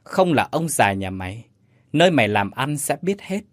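A man plays a smug young male schemer in an audio story. He is mercenary and sly, with lively mid-range delivery over music. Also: mid-range voice, normal speed, no room sound, studio quality, close to an everyday voice. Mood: mocking threat.

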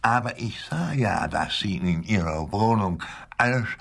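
An actor playing an indignant, spiteful old man from a retirement home in a radio play, speaking haltingly and with agitation. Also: fairly low pitch, expressive, gravelly, a bit bright, slightly rough, heavily acted. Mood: aggrieved. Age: older. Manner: halting, agitated, with rhetorical questions.

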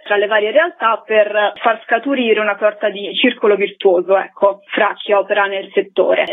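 Woman on radio explaining stuff neatly, medium pitch, tinny phone-like audio. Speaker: interviewed guest, cultural association representative. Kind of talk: informative radio interview answers. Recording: thin phone-like band-limited sound.

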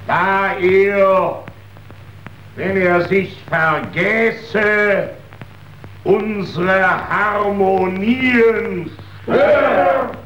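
An actor playing a stern, self-righteous cattle trader in a chorus, chanting emphatically in 1930s radio drama style. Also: male voice, high-pitched, fairly even intonation, very slow, a bit bright, full character voice. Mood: stern, emphatic. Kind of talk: 1930s radio choral declamation. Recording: echoey, muffled, noisy, distant 1932 radio recording.

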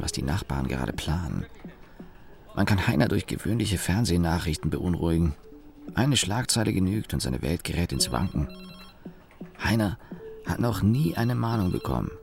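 A man's voice: sits low, vocal fry, a little mellow, exaggerated voice. An actor playing a cynical, disgruntled office engineer in a German radio play, dryly sardonic as he lists grievances. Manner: dry, sardonic, enumerating grievances.

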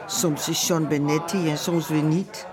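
Actor as high-voiced, resigned man musing. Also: steady tone, unhurried, a crowd in the background, natural voice. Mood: resigned, fond. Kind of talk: radio drama acting.

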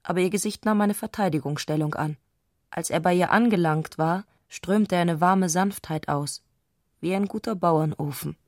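Female narrator, literary radio play. Composed, measured storytelling, lower register, dry studio sound. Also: a little mellow, dry recording.

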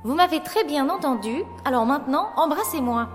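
Woman acting high-voiced, coquettish young opera apprentice. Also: lively intonation, a little fast. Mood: bold, commanding. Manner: coquettish, commanding, formal period speech. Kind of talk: French audio drama acting. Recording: long reverb, music behind.

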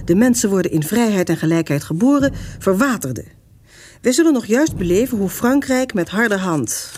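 A woman's voice: medium pitch, darkish tone, slight background noise. A woman reading a scripted introduction to a radio serial as its narrator.

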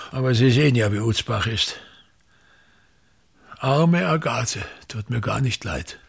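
An older man playing a pompous, embittered touring actor-manager in a radio play, declaiming grandly and with contempt in a gravelly, husky voice. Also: fairly high pitch, heavily acted. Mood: bitter, contemptuous. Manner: grandiose, misanthropic, declamatory, repeating himself. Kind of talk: theatrical radio play monologue.

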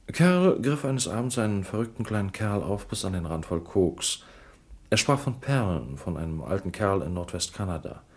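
A slippery shyster lawyer in a crime radio drama. The low, raspy voice swings widely in pitch, with a theatrical, mocking, wheedling delivery. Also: male voice, a little mellow.

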